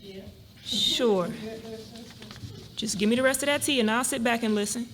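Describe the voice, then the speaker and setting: A woman playing a wary, independent young college graduate in a live dramatic reading, skeptical, expressive and colloquial. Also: on the high side, normal speed, natural voice. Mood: wary, restless. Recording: noisy live room recording.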